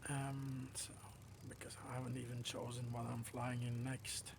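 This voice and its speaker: A man's voice: mid-range voice, monotone, moderate pace, background noise. A man hosting a casual flight simulator livestream, chatting with other players and thinking aloud in a hesitant way.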